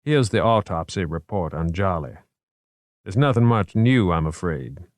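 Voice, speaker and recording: A man voicing a genteel, erudite male investigator in a measured, formal, wry manner, in an audiobook. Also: low voice, lively intonation, a little mellow, dry recording. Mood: calm, wry.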